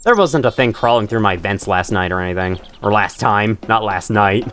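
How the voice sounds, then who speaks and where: A young man with a stuffy nose, rambling and swearing casually through relaxed gameplay commentary. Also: on the low side, normal speed, slight background noise. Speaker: gaming channel host. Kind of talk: casual let's-play commentary.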